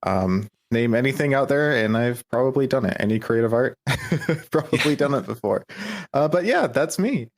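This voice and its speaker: A man's voice: mid-range voice, animated, normal speed, a little hiss or hum. A young man as a podcast guest, chatting casually and self-deprecatingly, with many fillers and easy laughs. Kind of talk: casual interview chat.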